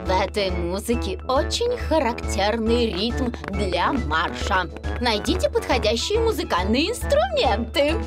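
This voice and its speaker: Kindly cartoon nursery teacher, unhurried, lively intonation, encouraging, music behind. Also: female voice, a little high. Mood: lively instruction. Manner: encouraging, instructive, carefully enunciated. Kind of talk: dubbed children's cartoon acting.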